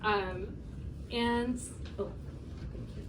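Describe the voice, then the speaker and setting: A woman's voice: mid-range voice, steady tone, drawn-out words, very noisy. A woman in her thirties, born and raised in South Korea with Korean as her first language, speaking casually at a meetup and asking the audience "right?" between points. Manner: chatty, frequent "like" and "right?", laughs between points. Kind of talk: live conference talk. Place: event room before a small audience.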